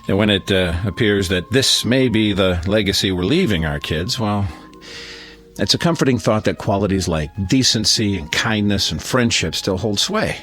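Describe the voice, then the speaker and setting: An American actor playing a genial middle-aged neighbor giving a folksy, hesitant, sentimental toast in a radio drama. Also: male voice, low voice, a bit bright. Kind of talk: radio drama acting, party speech.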